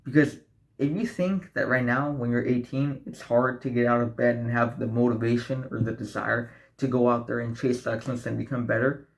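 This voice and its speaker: A man's voice: medium pitch, fairly even intonation, a little fast, vocal fry, low-quality recording. A young man of eighteen giving blunt, profane self-improvement advice straight to camera, often calling the viewers guys and asking right. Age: young adult. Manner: blunt, profane, preachy motivational talk. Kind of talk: direct-to-camera advice vlog.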